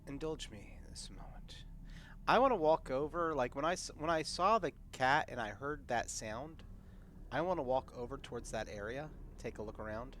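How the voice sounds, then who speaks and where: A man's voice: mid-range voice, moderate pace, fairly bright tone, a little hiss or hum. A man talking casually as a player in a tabletop roleplaying game.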